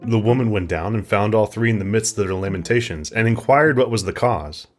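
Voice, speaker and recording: Expressive male fairy tale storyteller. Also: fairly low pitch. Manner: expressive, old-fashioned storybook reading. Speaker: storyteller, narrator. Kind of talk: scripted fairy tale narration.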